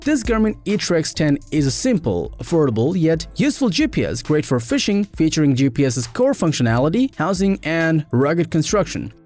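A man's voice: fairly high pitch, big pitch swings, brisk, few pauses. A man reading a scripted product review voice-over in an upbeat, promotional manner. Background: soft background music.

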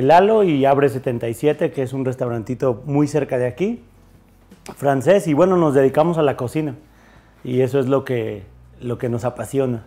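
Halting Mexican man, mellow voice. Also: medium pitch. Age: 40s. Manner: halting, many fillers and restarts. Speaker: chef and restaurant owner. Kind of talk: interview conversation. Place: bar with bottle shelves. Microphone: lapel mic.

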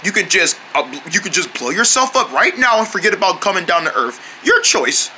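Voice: medium pitch, sing-song, fairly quick, natural voice. A performer voicing a brave, boastful male general in a cartoon, talking in folksy, slangy tough talk. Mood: threatening. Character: brave, swaggering, boastful male general, self-appointed hero. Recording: noticeable background noise.

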